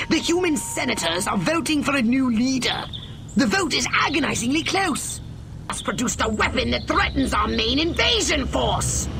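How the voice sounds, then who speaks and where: A man's voice: very high voice, exaggerated voice. An actor playing a pompous, scheming male alien scientist commander in a video game, over music. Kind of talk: video game cutscene acting. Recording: game audio with music behind.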